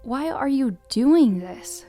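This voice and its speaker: A woman playing an earnest, questioning young girl sidekick in a motion comic, with a soft, hesitant manner. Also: high voice, lively intonation, takes it slowly, faint music behind. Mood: puzzled, earnest.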